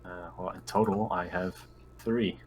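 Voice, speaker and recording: Deep-voiced man chatting over a game. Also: fairly even intonation, a little slow, a little hiss or hum. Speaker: tabletop game player. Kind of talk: casual game chat.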